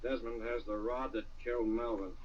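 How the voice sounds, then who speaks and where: A muffled vintage radio recording carries a husky, commanding crime fighter acted by a man. He speaks in terse, cool, authoritative lines in the old radio drama manner, sounding a little distant from the microphone. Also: mid-range voice, little pitch movement, fairly quick, natural voice. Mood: cold, threatening. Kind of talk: 1940s radio drama acting.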